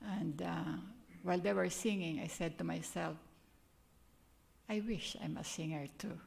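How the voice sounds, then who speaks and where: A woman's voice: fairly low pitch, a little slow, creaky, darkish tone, slight background noise. An older woman from the Philippines with a Filipino accent, leading a prayer and preaching a church sermon in an earnest, chatty way. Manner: earnest, chatty, laughs at herself. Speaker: guest speaker.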